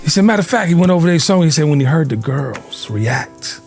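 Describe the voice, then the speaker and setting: A middle-aged man hosting an interview, animated and slangy, often cheering his guest on. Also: on the high side, brisk, soft background music. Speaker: podcast host. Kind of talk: casual interview conversation.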